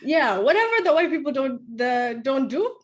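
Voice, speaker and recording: High-voiced animated woman. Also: dull audio. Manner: animated, quick to laugh. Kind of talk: casual discussion.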